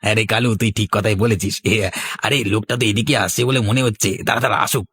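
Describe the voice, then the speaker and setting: A man's voice: lowish voice, fairly even intonation, quick pace, fairly bright tone, lightly acted. A man playing a boastful, bossy village swindler in a cartoon, gloating and scolding with an oily manner. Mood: scheming. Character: crafty, boastful male village juice swindler, bossy ringleader. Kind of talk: cartoon voice acting.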